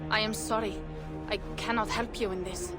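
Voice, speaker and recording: A woman's voice: mid-range voice, steady tone, normal speed, over music, lightly acted. A woman playing a loyal, resolute Viking clanswoman in a video game, speaking bluntly. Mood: firm, regretful. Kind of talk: video game dialogue acting.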